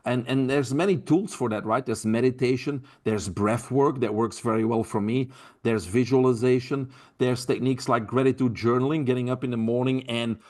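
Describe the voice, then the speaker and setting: A man's voice: medium pitch, fairly even intonation, moderate pace, a little mellow. A man with a Belgian accent, a health mentor speaking earnestly as a podcast guest, often repeating words as he thinks. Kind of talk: podcast interview.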